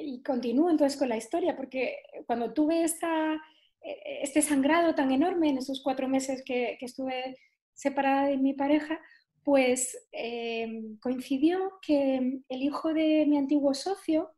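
A woman, a nutrition therapist guest, recounts her own experience in a talkative, meandering way. Her voice sits high but sounds warm and soft rather than bright. She leans on fillers and little tag questions as she goes. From southern Spain. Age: 40s. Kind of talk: personal storytelling in an interview.

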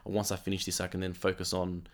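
A man's voice: completely flat delivery, fast talker. A man co-hosting a casual podcast, talking earnestly and repeating words while he works toward his point. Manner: acts out quoted voices in a higher pitch. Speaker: podcast co-host. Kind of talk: casual chat.

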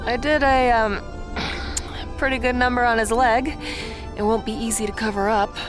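A woman's voice: a little high, steady tone, moderate pace, music in the background, natural voice. An actress playing a sarcastic young werewolf woman in a radio drama. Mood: wry. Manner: wisecracking, sarcastic.

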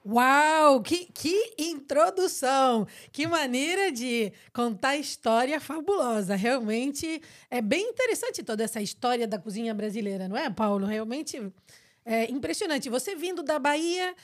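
A woman's voice: sits high, lively intonation, measured pace. A woman hosting a podcast, asking questions with effusive enthusiasm. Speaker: podcast host. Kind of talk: podcast interview.

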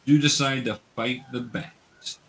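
A man's voice: medium pitch, fairly even intonation, measured pace, a bit bright, breathy edge. A man running a tabletop roleplaying game as game master, explaining the steps patiently and casually to players.

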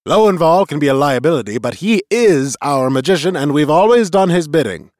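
A man's voice: on the high side, lively intonation, fairly quick, darkish tone, dry recording, clean studio sound, voice pushed up, lightly acted. A man voicing a grave, disapproving male elder of a creature clan in a storybook, stern and emphatic. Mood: stern, concerned.

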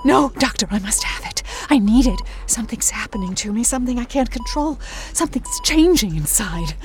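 A woman's voice: a little high, lively intonation, brisk, soft background music, lightly acted. An actress playing an imperious, melodramatic and desperate female executive in a campy audio drama. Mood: desperate, demanding. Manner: commanding, melodramatic. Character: imperious, desperate woman cosmetics executive obsessed with youth. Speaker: voice actor.

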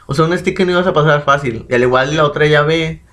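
A young man with a Mexican accent chatting casually as a co-host, relaxed and slangy with lots of fillers. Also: fairly high pitch, quick pace. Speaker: podcast co-host. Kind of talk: casual chat about rap battles.

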